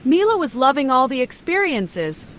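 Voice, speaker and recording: A woman's voice: high voice, sing-song, moderate pace. A woman narrating a scripted children's bedtime story.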